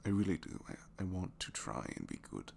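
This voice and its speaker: A man's voice: sits low, big pitch swings, brisk, vocal fry, a little mellow, slight background noise, exaggerated voice. A man acting out a bashful, flirtatious supervillain with a good heart in an intimate ASMR roleplay, speaking hesitantly with sheepish stammers. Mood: earnest, hopeful.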